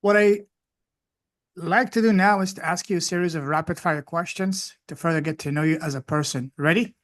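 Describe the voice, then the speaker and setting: A man who grew up in France hosts a podcast interview, speaking with enthusiasm and frequent warm affirmations. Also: on the high side, a little fast. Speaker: podcast host. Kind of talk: interview hosting.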